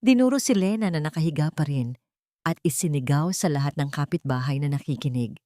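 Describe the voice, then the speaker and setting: A woman narrates a scripted dramatic story in measured, emotive short phrases. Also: low-pitched, mellow, no room sound, studio quality.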